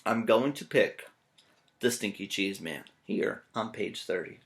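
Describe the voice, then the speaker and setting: A man reading a children's story aloud as a teacher, expressive and full of playful joking asides. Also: fairly low pitch, measured pace.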